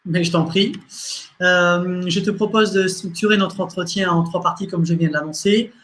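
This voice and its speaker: A man hosting an interview show, introducing the guest and laying out the talk in a measured, polite way with hesitant fillers. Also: high-pitched, steady tone, fairly bright tone, a little hiss or hum. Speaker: interview host.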